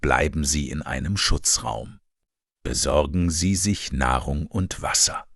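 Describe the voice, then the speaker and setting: A man's voice: a bass voice, unhurried, creaky, dry recording, clean studio sound. A man's synthetic-sounding voice reading a scripted emergency alert as an announcer.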